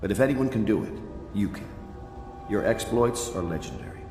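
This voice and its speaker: A man plays a grave leader of conspirators in a video game. His low voice is deliberate, earnest and persuasive. It rings with long reverb in a big room, over faint music. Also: lively intonation, darkish tone, natural voice. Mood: firm, urging. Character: resolute, grave male leader of loyalist conspirators. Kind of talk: scripted video game briefing. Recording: echoey hall with long reverb.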